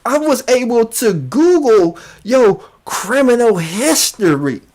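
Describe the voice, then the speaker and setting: Slow, emphatic online commentator. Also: medium pitch, very animated, darkish tone. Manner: emphatic, repetitive, incredulous. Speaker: YouTube commentator. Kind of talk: mocking call-out commentary.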